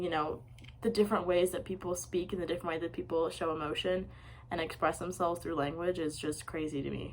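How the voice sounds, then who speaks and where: A young woman giving a casual, unscripted film review, talking in a rushed way full of "like" and "um". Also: fairly low pitch, slight background noise. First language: English. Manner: rushed, lots of "like" and "um" fillers.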